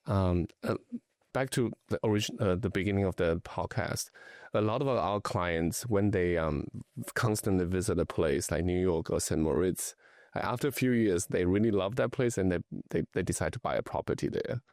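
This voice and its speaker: Gravelly low-voiced man from Taiwan. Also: normal speed, a little mellow, no room sound, studio quality. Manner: hesitant, many fillers and restarts. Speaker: luxury travel and lifestyle advisor, guest. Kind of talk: casual conversational interview.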